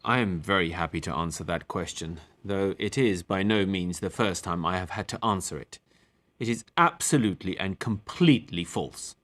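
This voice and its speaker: A man acts out an authoritative captain testifying in a stage play about an inquiry. His voice is fairly low, and he speaks in a formal, deliberate way. He is firm and defensive of his own conduct. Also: close to an everyday voice. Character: defensive, authoritative male official, a captain, giving evidence. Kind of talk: online table read of a courtroom play.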